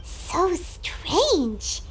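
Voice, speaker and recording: A woman voicing a small childlike fairy companion in a video game, squeaky, bubbly and eager. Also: huge pitch swings, very slow, a little hiss or hum, heavily acted. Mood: puzzled. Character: small excitable childlike fairy companion, female, food-loving. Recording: loud game music behind.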